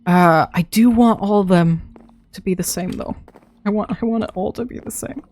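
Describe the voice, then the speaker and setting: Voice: medium pitch, moderate pace, a little mellow. A young adult streamer chatting casually to themself while playing a game, laughing often, throat a little dry. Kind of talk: casual live gameplay commentary.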